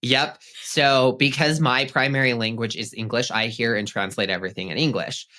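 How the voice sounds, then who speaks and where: A man's voice: mid-range voice, fairly even intonation, normal speed, pushed and bright. A man being interviewed as a pet psychic on a podcast, chatty and upbeat, with some casual swearing.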